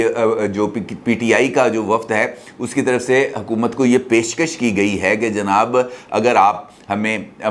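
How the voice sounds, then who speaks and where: A man with a Pakistani accent giving informal political news commentary as a host, talking conversationally. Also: on the low side.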